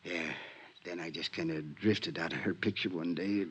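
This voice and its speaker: An actor playing a dying small-time crook in a 1950s radio drama, hoarse and weak, with halting slangy tough-guy talk. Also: deep voice, fairly even intonation, a bit bright. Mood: halting, urgent. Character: dying male convict, small-time grifter, resigned and wry. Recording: vintage 1950s radio recording.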